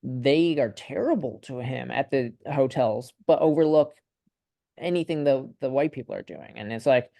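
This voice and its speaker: A young man from Ukraine chatting casually on a video call in a student discussion, thinking aloud in a tentative, halting, rambling way. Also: medium pitch, gravelly, mellow, dry recording.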